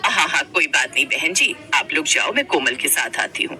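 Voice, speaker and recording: A woman's voice: deep voice, expressive, normal speed, bright, forceful tone, pitched below the performer's own voice, full character voice, poor audio. A woman voicing a stern, protective older mother-in-law who scolds, in a cartoon story. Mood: polite, subdued.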